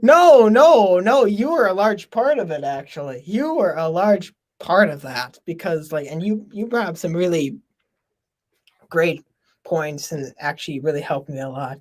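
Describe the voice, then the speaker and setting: A man from Minnesota hosting a casual live stream, talking loudly and laughing often. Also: sits high, animated, unhurried. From Minnesota, USA. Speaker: podcast host.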